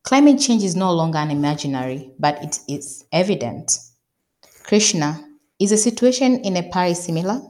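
A woman's voice: fairly low pitch, lively intonation, normal speed, mellow. A woman moderating a panel, asking formal and polite questions. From Tanzania.

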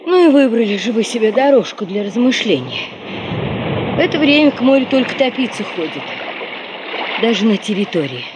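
Casting: a brisk gossipy secretary, very varied intonation, radio play over noisy ambience. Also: female voice, on the high side. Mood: wry, breathless news. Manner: expressive, gossipy, dramatic. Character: brisk, chatty, wry female secretary. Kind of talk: Soviet radio drama acting.

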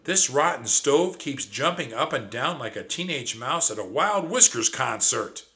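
A man voicing a brash, greedy male mouse who is boastful, whiny and squeaky. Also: unhurried. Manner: squeaky, loud, mocking.

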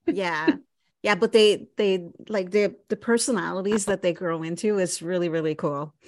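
A woman in her forties hosting a podcast interview, agreeable and quick to laugh. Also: lowish voice, a little mellow, dry recording. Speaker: podcast host.

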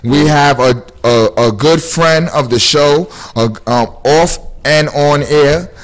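A man's voice: on the high side, slow pace. A man hosting a podcast, talking in a laid-back, rambling way with lots of fillers and street slang. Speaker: podcast host. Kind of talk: casual podcast chat.

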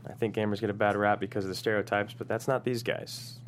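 Man reading a low-key gamer guy, hesitant, understated, table read, faint background noise. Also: level tone, fast, close to an everyday voice. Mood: mild.

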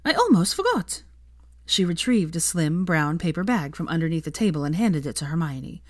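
A woman's voice: low voice, fairly quick, darkish tone, dry recording, clean studio sound. A woman narrating a story as an audiobook reader, reading from a script.

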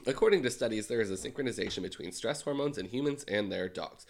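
Gravelly low-voiced man, ill and dry. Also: fast. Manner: dry, deadpan joking. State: congested and ill. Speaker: podcast co-host. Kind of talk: casual chat and read ad.

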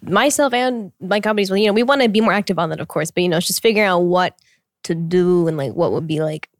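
A woman's voice: on the low side, fast talker, no echo. A young woman co-hosting a podcast, chatting casually, laughing easily and answering with dry comebacks. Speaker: podcast co-host. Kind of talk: casual chat.

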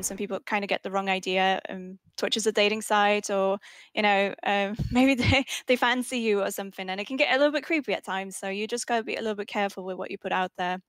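A woman's voice: mid-range voice, no room sound. A woman streamer speaking on a panel, hesitant, with many ums. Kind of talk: casual panel discussion.

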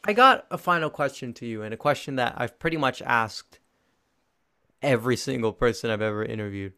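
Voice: medium pitch, fairly quick, sharp tone. A podcast host asking questions and signing off with brief, easygoing remarks in a casual chat.

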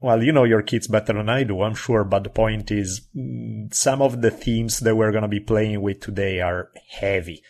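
Italian male podcast host, lowish voice, chatty and expressive. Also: normal speed, gravelly, hardly any pauses. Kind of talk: casual podcast chat.

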